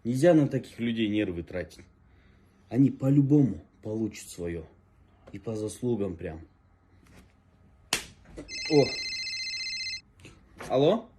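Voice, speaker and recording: A man's voice: sits high, darkish tone. A man in his thirties acting in a comedy sketch, pushy and nagging. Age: 30s.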